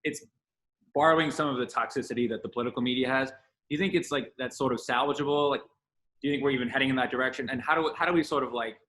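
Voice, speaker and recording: A man co-hosting a casual sports podcast, rambling in halting, stop-start phrases. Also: mid-range voice, pushed and bright. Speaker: podcast co-host.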